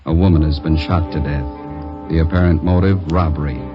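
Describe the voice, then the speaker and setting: A man reading a grave radio drama introduction in terse, measured phrases. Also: a bass voice, steady tone. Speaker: opening announcer. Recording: dull old radio, music behind.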